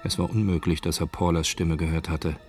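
A man's voice: deep voice, little pitch movement, brisk, darkish tone, soft background music. A man narrating a crime story in a scripted radio drama. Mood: measured storytelling.

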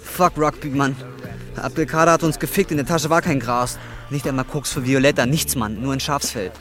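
A man's voice: medium pitch, fairly even intonation, fairly quick, over music, lightly acted. An actor in his twenties playing a desperate, broke young man who swears in coarse slang, in naturalistic film drama style. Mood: agitated, bitter. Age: 20s. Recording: clearly noisy film location sound.

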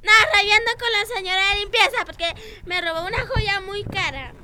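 A woman's voice: extremely high pitch, a little fast, a crowd in the background. A woman playing a haughty, scolding rich mother and mistress of the house in an improvised theatre scene. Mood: doting. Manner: scolding, exclamatory. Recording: noisy amateur recording with clicks.

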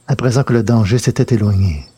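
A man's voice: on the low side, fast, dark tone, breathy edge, no room sound. A man reading a scripted science fiction adventure as narrator.

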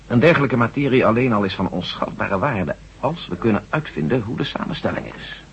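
An actor playing a determined army general in a radio drama, assertive and reasonable, probing with questions. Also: male voice, mid-range voice, animated, fairly quick, gravelly, husky, full character voice. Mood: speculating. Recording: dull lo-fi old radio recording.

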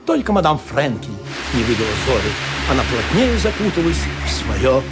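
A man narrating a comic radio play in an expressive, playfully dramatic storytelling manner. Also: medium pitch, moderate pace, loud music behind the voice.

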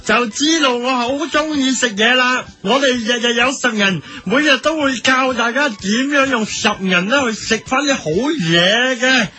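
Male actor for comic gluttonous food presenter, Hong Kong Cantonese, piercingly high and sharp, over-the-top and repetitive. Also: a little slow, few pauses, heavily acted. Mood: showy, eager. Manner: exaggerated, repetitive verbal tic, eager explaining. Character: gluttonous, self-satisfied TV food show host, a man. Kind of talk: parody radio drama performance.

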